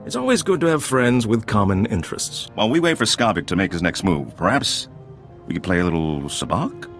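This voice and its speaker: Suave, lilting gambler. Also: male voice, on the low side, big pitch swings, fairly quick, a bit bright, soft background music. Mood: amused, smooth. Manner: urbane, teasing. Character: suave, genteel gambler and information broker. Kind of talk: video game acting.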